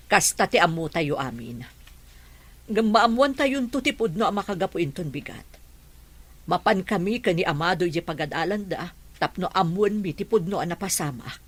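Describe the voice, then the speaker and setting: A woman playing the concerned, steady madam of a household in an Ilocano radio drama, with an Ilocano accent and earnest authority. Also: fairly low pitch, normal speed, husky. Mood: reassuring. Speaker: voice actor in drama podcast. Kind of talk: emotional radio drama acting.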